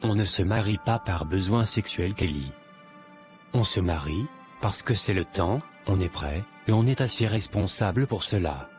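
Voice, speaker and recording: Low-voiced earnest young boyfriend played by a man. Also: expressive, moderate pace, soft background music. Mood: serious. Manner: hesitant, serious.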